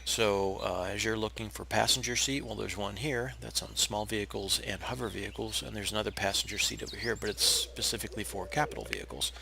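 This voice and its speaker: A hoarse, raspy man who is feeling unwell, casually talking viewers through a game build step by step with plenty of pauses and restarts. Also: on the low side, slight background noise. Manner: relaxed, explanatory, with frequent uh fillers and restarts. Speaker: game tutorial narrator. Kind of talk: casual step-by-step gameplay tutorial.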